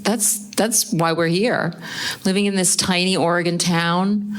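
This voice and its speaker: A woman playing a guilt-ridden, self-blaming married animal lover in a live radio play, speaking earnestly and haltingly. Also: fairly low pitch, lightly acted. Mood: bitter self-blame. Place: live on a theatre stage.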